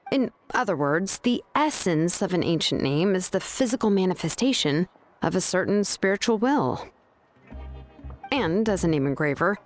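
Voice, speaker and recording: A woman voicing a calm, bookish craftswoman in a video game, explaining things in a measured, scripted way. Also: mid-range voice, close to an everyday voice. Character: calm, bookish adult craftswoman who forges ancient names.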